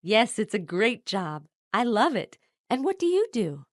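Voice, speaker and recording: A voice actress plays an enthusiastic woman in an English textbook conversation. Her voice is a little high with lively melody. The lines are scripted for learners. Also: unhurried. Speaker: voice actor in English lesson dialogues.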